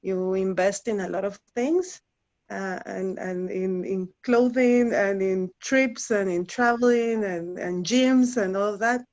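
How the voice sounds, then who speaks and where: A woman in her thirties from Guatemala, a native Spanish speaker, chatting as an interview guest with many halting restarts. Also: mid-range voice, darkish tone, lo-fi sound. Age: 30s. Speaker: interview guest, former client. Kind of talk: casual interview chat.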